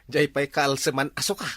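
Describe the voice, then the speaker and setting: A man's voice: a little high, moderate pace, a bit bright, no echo. A man playing a joking older neighbour in a comedy drama who teases and laughs a lot. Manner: teasing, laughing. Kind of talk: comedy web drama acting.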